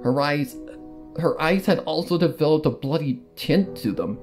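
Male narrator, dramatic first-person storytelling with halting pauses, music underneath. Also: a little high, a little mellow. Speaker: fan fiction reader. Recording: music behind the voice. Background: background music.

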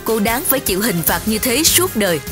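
A woman's voice: fairly high pitch, very animated, brisk, over music. A woman voicing an earnest, trusting young king with a courtly manner in a children's fairy-tale cartoon. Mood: determined.

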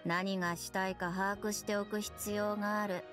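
A woman playing a stern young patrol captain in a game, formal, deadpan and authoritative. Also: mid-range voice, moderate pace, over music, close to an everyday voice. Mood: dry, wary.

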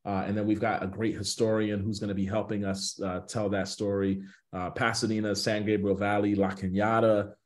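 A man's voice: lowish voice, level tone, normal speed. An American man in his thirties, a pastor speaking off the cuff on a video call with an earnest preacher's cadence, repeating phrases for emphasis. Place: small indoor room on a video call.

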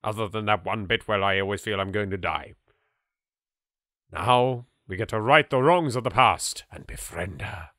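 A man's voice: lowish voice, normal speed, bright, forceful tone, no echo, clean studio sound. A man hosting a video game playthrough with theatrical, grandiose showman delivery. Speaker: let's play host.

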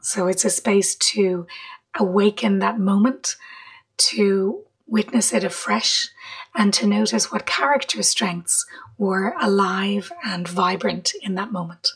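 A woman leading a guided meditation in a soft, breathy voice, gently, with long pauses between short phrases. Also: medium pitch, low-quality recording. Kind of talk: guided meditation, slow and measured.

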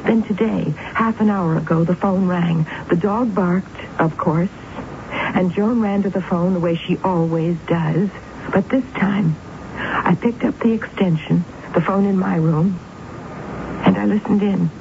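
Radio drama lead, obsessive young woman, deliberate and theatrical; thin vintage broadcast sound. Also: on the low side, gravelly, narrow, tinny audio, slight background noise. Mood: urgent. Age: young adult. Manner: deliberate, dramatic, halting phrasing. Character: intense, obsessive young woman convinced of demons. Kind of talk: 1970s radio drama acting, narration and dialogue.

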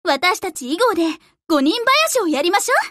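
Very high, sweet young anime girl. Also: lively intonation, fairly quick, fairly bright tone, dry recording, clean studio sound. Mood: cheerful, proud. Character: sweet, eager young submarine or warship girl. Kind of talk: Japanese anime game voice lines.

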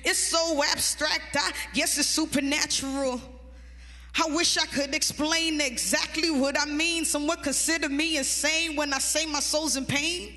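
A woman's voice: high voice, steady tone, unhurried, in a large hall. A young woman performing rhymed spoken word into a handheld mic on a concert stage, half rapping and half singing. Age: young adult. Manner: rhythmic, rhyming delivery, half sung. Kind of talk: spoken word rap over music. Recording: live concert with loud music. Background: loud backing music.

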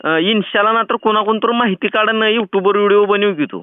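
A man's voice: high-pitched, a little fast, smooth, dry recording. A man with a rural Maharashtrian Marathi accent chats casually and insistently as a teasing prank caller, in colloquial speech over a tinny phone-like recording. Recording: tinny, phone-like band-limited sound.

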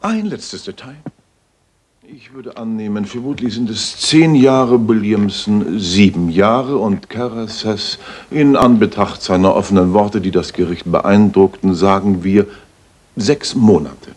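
An actor playing a shrewd, self-assured Victorian master detective in a radio play, speaking in a probing, precise way. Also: male voice, on the low side, a little slow, a little mellow, husky. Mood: matter-of-fact.

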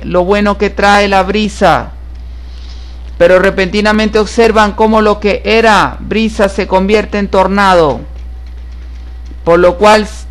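A man's voice: sits high, clean tone. A man giving a solemn, repetitive horoscope reading over tarot cards, stressing each point as certain. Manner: solemn, repetitive, emphatic about being certified. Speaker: tarot reader and astrologer.

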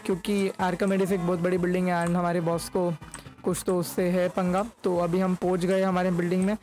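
A man's voice: high-pitched, completely flat delivery, brisk, a little mellow. A young man giving casual gameplay commentary as a YouTuber, speaking close to a microphone. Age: young adult. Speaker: gaming YouTuber. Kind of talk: casual gameplay commentary mixing Hindi and English. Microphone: close microphone in front of face.

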